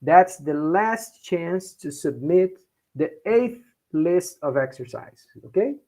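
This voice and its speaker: A Brazilian professor with a Brazilian Portuguese accent, teaching a class in English, often checking in with "okay?" and repeating points in Portuguese. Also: male voice, a little high, expressive, takes it slowly, dark tone. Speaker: university professor. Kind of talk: bilingual class lecture.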